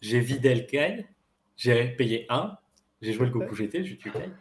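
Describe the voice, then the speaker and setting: A man's voice: mid-range voice, lively intonation, normal speed, roomy sound. A man on a card game panel, casually explaining plays step by step with trailing restarts. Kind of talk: casual strategy discussion.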